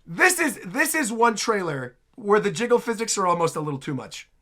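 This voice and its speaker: A man hosting a reaction video, chatting casually and excitably as he comments. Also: sits high, fairly quick.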